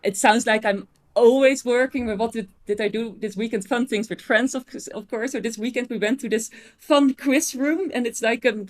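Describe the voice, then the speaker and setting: Woman from the netherlands, chatty guest on a video call, bit high voice, keeps restarting sentences. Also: moderate pace, hardly any pauses. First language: Dutch. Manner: chatty, restarts sentences often, laughs easily. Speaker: podcast guest, agency owner. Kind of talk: casual interview chat.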